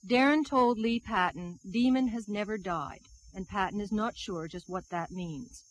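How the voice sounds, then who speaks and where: A woman's voice: mid-range voice, moderate pace, rough recording. Woman narrating a serious news documentary. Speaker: television news reporter.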